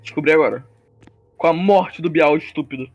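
A young man chatting with friends over voice chat while gaming, excitable and swearing, trailing off mid-sentence. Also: a little high, a little fast, lo-fi sound. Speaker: gamer playing with friends. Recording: noisy voice-chat mic.

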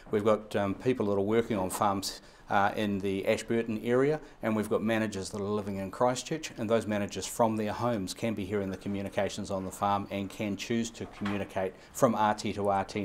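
Kiwi guy in his 50s rattling off answers, lowish voice, bit of hiss behind. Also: fairly even intonation, darkish tone. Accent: New Zealand. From New Zealand. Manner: fast, run-on explanations with many fillers and restarts. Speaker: radio equipment supplier. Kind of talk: interview answers. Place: indoor showroom. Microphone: lapel.

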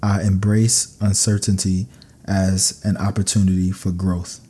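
A man narrating scripted sleep meditation affirmations in a calm, soothing, measured way. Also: low-pitched, slightly rough.

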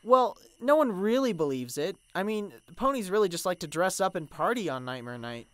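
A woman voicing an earnest, flustered young unicorn pony guide in a cartoon parody, talking in a halting, awkwardly chipper way. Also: on the low side, big pitch swings, no room sound. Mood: chatty. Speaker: voice actor in a parody dub. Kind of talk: conversational animated comedy acting.

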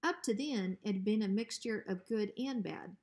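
A woman's voice: medium pitch, moderate pace, a little mellow. A woman narrating a children's storybook expressively, as when reading aloud to pupils. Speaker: school principal reading aloud.

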